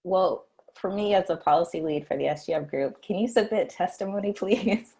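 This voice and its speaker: Very low-pitched woman panelist, husky voice, halting conversational style, video call. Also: mellow. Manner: conversational, halting, with light laughs. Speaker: policy lead, panelist. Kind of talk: panel discussion.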